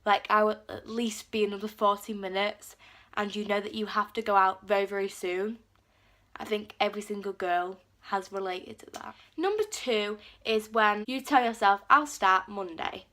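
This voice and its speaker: A woman's voice: medium pitch, steady tone, moderate pace, a bit bright. A teenage girl with a cold, chatting casually to camera and filling her talk with "like". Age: teens. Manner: chatty, lots of "like" fillers, acts out quotes. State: has a cold. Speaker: vlogger.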